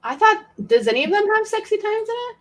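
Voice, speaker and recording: A woman's voice: very high-pitched, very animated, fast talker. A woman co-hosting a casual group livestream, chatting in an informal, jokey way. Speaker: livestream co-host. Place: remote video call livestream.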